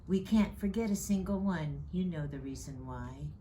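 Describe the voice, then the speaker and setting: Woman acting out a fatherly old gift-giver, low pitch, gentle, earnest, storybook dialogue, background noise. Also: moderate pace, close to an everyday voice. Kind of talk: children's storybook character dialogue.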